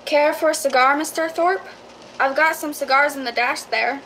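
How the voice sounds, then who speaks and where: A woman's voice: high-pitched, normal speed, sharp tone, slight background noise, poor audio. A woman playing a chatty, folksy property seller in a radio drama, eager and persuasive. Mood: eager, reassuring. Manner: eager, reassuring, folksy salesmanship.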